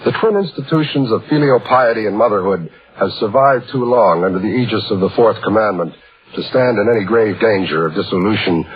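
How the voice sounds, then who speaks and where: A man hosting a vintage radio drama with an ornate, pompous scripted introduction in measured oratory. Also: lowish voice, husky. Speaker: radio show host introducing the story. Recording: muffled old radio broadcast, music behind.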